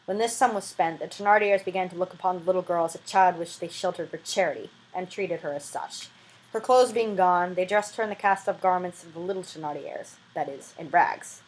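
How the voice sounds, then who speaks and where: A woman's voice: fairly low pitch, fairly quick, rough recording. Woman reading a novel aloud expressively. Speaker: amateur audiobook reader.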